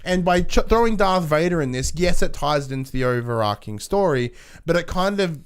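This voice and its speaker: A man's voice: fairly high pitch, animated, brisk, smooth. A man co-hosting a movie podcast in casual, informal conversation, swearing freely as he talks. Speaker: podcast co-host.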